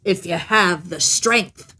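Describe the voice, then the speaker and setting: A woman voicing a huge, contemptuous male giant in a storybook, challenging and blustering, with slow, emphatic delivery. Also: fairly low pitch, pushed and bright, voice pushed down, heavily acted.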